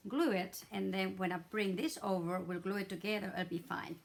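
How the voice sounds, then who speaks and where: A hoarse middle-aged woman, chatting her way through a craft tutorial with many fillers and restarts. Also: medium pitch, moderate pace, a little hiss or hum. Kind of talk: casual step-by-step craft demonstration.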